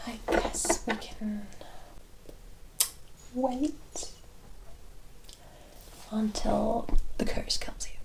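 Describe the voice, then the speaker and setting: A woman playing a teasing, worldly forest witch in a whispered ASMR roleplay, speaking in a deliberate, familiar way. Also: on the high side, darkish tone, poor audio. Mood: wry, disdainful. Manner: unhurried, deliberate, familiar terms of address.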